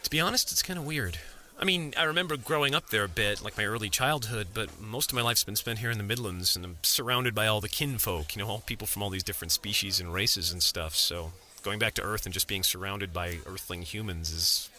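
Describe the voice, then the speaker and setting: A man's voice: lowish voice, fairly quick, pushed and bright. An actor in an audio drama playing a guarded, courteous working man, with naturalistic, casual and sometimes hesitant delivery. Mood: weary, guarded. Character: guarded, courteous working man, Earth-born knight with squire.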